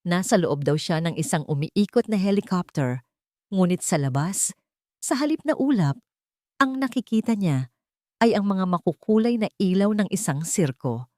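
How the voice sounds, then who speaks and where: A woman tells a dramatic story in a measured, even narrator's voice. Her pitch sits low and the sound is dry, with no room around it. The delivery stays scripted and composed. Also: no echo.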